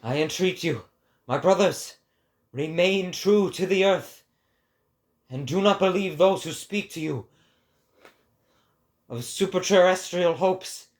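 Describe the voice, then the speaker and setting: A man's voice: sits high, slow, pauses often. A man voicing an impassioned male prophet who declaims like an orator in a dramatic reading.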